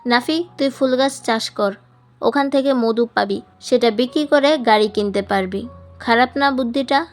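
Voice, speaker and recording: A woman's voice: fairly high pitch, moderate pace, soft background music, close to an everyday voice. A woman voicing a cheeky, playful grown man in an audiobook, teasing with mock-serious, pompous reasoning. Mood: smug teasing. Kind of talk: audiobook character dialogue.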